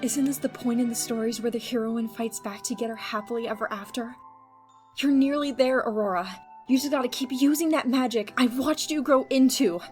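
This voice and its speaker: Female mentor actress, audio drama. High voice, encouraging, playful, light music underneath. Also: fairly quick, a little mellow, lightly acted. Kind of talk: amateur audio drama acting.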